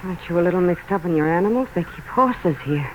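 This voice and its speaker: An actress playing a cool, teasing racehorse owner, arch and dryly flirtatious, in a 1940s radio crime drama. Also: female voice, fairly low pitch, lively intonation, fast, lightly acted. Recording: dull, noisy vintage radio broadcast.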